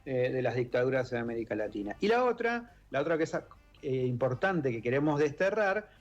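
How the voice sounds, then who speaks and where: Soft-spoken Argentine man on radio. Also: mid-range voice, sing-song, fairly quick, lo-fi sound. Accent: Rioplatense Spanish. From born in Italy, raised in Argentina. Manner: thoughtful, many fillers and restarts. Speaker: guest, author and member of an exile-children group. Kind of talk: radio interview. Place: radio studio.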